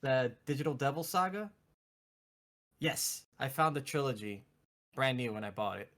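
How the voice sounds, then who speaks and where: A man's voice: medium pitch, animated, normal speed, a bit bright. A man co-hosting a gaming show, bantering casually about video games.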